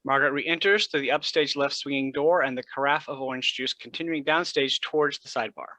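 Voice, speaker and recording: A man's voice: mid-range voice, steady tone, brisk, sharp tone, no room sound. A man reading stage directions for a play as scripted narration.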